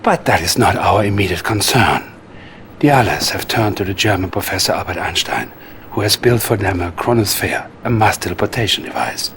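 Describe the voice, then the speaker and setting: Creepy russian accent soviet mastermind for a game cutscene, lowish voice, measured n condescending, bit of background noise. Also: male voice, expressive, a bit bright. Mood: smooth, ominous. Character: sinister scheming Soviet psychic advisor, coldly calm middle-aged man. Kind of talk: cinematic video game cutscene acting.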